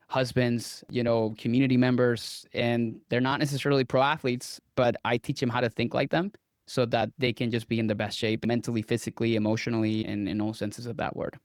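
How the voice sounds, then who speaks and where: A man's voice: medium pitch, no room sound, studio quality. A man, a guest dietitian who tells his story earnestly and sometimes repeats himself. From Venezuela. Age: 30s. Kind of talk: interview answers.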